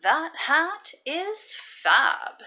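A woman's voice: high voice, big pitch swings, extremely slow, pushed and bright. A woman reading a children's story aloud as host, expressively and emphatically, sounding out words for young learners.

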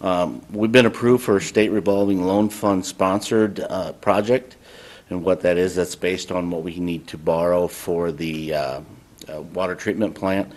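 A man's voice: deep voice, steady tone, measured pace, a little mellow. A man, the city administrator, giving a plain update with uh fillers at a council meeting in a city council chamber.

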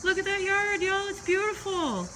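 A young woman, chatting excitedly as she films a walkthrough of a house she is renovating, speaking into her phone. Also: very high-pitched, moderate pace, a little hiss or hum. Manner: chatty, excitable, jumps between topics. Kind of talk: casual walkthrough vlog. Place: empty house and yard, indoors and outdoors. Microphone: phone held while filming.

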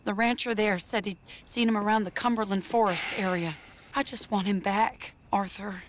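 An actress playing a genteel young woman in a dramatic game cutscene, earnest and halting, pleading. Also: mid-range voice, steady tone, background noise, close to an everyday voice. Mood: soft pleading.

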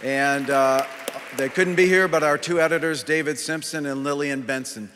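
A man in his sixties giving an informal, somewhat halting acceptance speech at a podium microphone. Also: on the high side, a bit bright, a little hiss or hum. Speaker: award recipient, filmmaker. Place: large awards hall stage, indoors. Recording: reverberant hall with audience sound. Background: audience.

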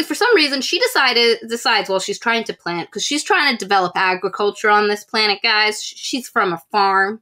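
A young woman with a fairly high voice co-hosts a book discussion over a video call. She retells plots casually and expressively, slipping into little acted-out asides as she goes. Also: sharp tone. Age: young adult. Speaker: podcast co-host. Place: small room with bookshelves, video call.

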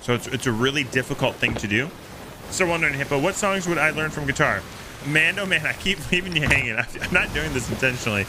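Animated man streaming with a playful, high-pitched delivery. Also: fast, noisy background. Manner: animated, playful, theatrical storytelling. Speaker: game streamer and host. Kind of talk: casual live-stream chat while gaming. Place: small home streaming room. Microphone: close streaming microphone.

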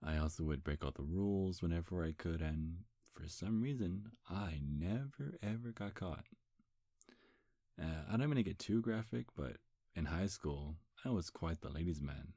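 A very low, intimate voice, recorded close and dry, belongs to a seductive demon acted by a man. He flirts and teases slyly, stumbling coyly over his words in an ASMR roleplay. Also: animated, normal speed, warm tone, no echo. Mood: flirtatious, coy. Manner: flirtatious, sly, hesitant stammers. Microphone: close, dry microphone.

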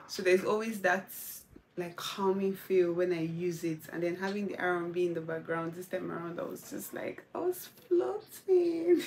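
Chatty young woman vlogging from home, laid-back, faint hum behind her. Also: fairly low pitch. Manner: chatty and relaxed, with soft laughs and gushing asides. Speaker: lifestyle vlogger. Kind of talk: casual chat to camera.

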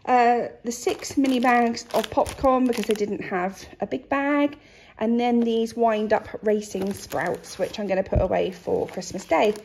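A woman's voice: on the high side, measured pace, slight background noise, rough recording. A woman, casually chatting through her grocery haul in her kitchen. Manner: chatty, relaxed, with frequent ums. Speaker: vlogger showing a grocery haul. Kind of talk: casual chat to camera. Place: kitchen, indoors.

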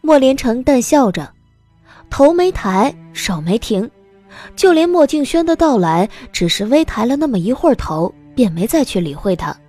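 Woman narrating an audio drama, high soft mellow voice, lots of expression, music behind. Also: very animated, moderate pace.

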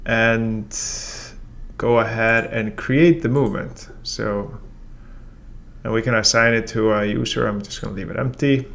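Man, forties, gravelly voice, casual step-by-step software tutorial, lots of ums. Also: lowish voice, a little slow. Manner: relaxed, explains step by step with many ums. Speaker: software trainer. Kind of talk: casual screen-share tutorial. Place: room with a brick wall and whiteboard, then voice-over of screen recording.

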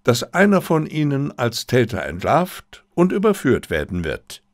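A man voicing a confident detective in an audiobook, speaking deliberately and politely with theatrical emphasis. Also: mid-range voice, wildly swooping pitch, dry recording, clean studio sound. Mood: commanding, deliberate. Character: confident, theatrical male detective addressing suspects.

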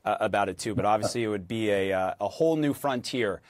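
A man's voice: fairly low pitch, fast talker, no echo. American male news anchor, dry studio sound. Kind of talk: live news interview. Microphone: lapel mic.

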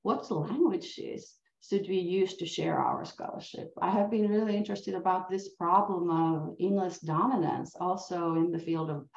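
Finnish-accented woman lecturing over a video call, low gravelly voice, earnest, echoey and kind of muffled. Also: a little mellow. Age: middle-aged. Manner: earnest, reflective, asks many questions. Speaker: university professor. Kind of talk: academic lecture. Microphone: laptop mic on a video call. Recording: roomy, dull-sounding video call.

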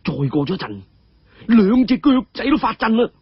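Guy narrating a dramatic tale, a bit husky, muffled dry sound. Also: high voice, big pitch swings, normal speed, dry recording. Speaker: storyteller.

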